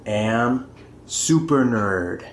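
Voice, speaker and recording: A man in his twenties playing a bored, dorky amateur superhero with a deadpan, mock-heroic delivery. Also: fairly low pitch, drawn-out words, soft background music. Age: 20s.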